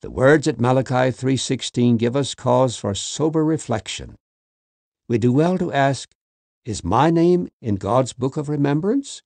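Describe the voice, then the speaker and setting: A man reading a religious magazine article aloud in an expressive, scripted way. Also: mid-range voice, measured pace, gravelly, no echo.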